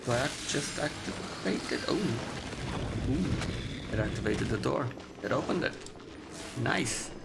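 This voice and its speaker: A man giving casual gameplay commentary, low-key and thinking aloud as he plays. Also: expressive, slow, vocal fry, long pauses, very noisy. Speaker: gamer, video creator. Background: game music.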